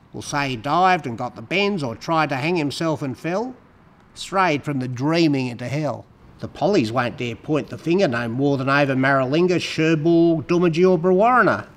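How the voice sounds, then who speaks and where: A man's voice: a little high, fairly bright tone. A man giving a dramatic, emphatic recitation of rhyming poetry. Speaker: poetry reader.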